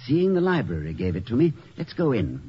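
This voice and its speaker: An actor playing a doting, dreamy college president in a 1950s radio drama, with a flustered, stammering, genteel way of talking, on a muffled old recording. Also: male voice, mid-range voice, huge pitch swings, brisk, slightly rough, exaggerated voice. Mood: eager, scheming. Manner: flustered stammering, effusive, genteel turns of phrase.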